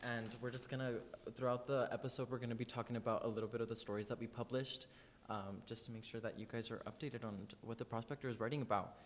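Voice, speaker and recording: A man's voice: steady tone, fast talker. A nineteen-year-old man hosting a student podcast in a loose, friendly way with many fillers. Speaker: podcast host. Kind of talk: casual student podcast chat. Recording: long reverb, faint rumble.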